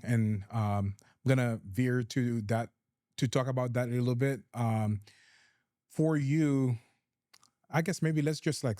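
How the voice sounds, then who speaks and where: A man's voice: mid-range voice, dark tone, no echo, clean studio sound. A man from Haiti with a Haitian accent, hosting a talk show and asking careful questions. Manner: brief affirming backchannels, careful questions. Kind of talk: friendly interviewing.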